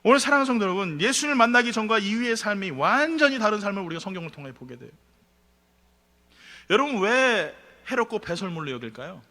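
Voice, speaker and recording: A man preaching a Sunday sermon as pastor, animated, swinging between emphatic peaks and quiet asides. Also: high voice, fast talker, big-room sound. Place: church sanctuary.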